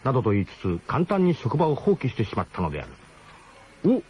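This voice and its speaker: A man's voice: medium pitch, wildly swooping pitch, fairly quick, a little mellow. A man narrating a comic radio drama as scripted storytelling, with a mock-solemn, literary delivery. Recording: muffled 1980s radio broadcast, faint noise.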